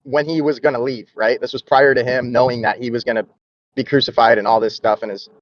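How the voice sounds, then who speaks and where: A man's voice: medium pitch, fairly even intonation, fast talker, no room sound, lo-fi sound. A man in a casual discussion, speaking hesitantly with many fillers.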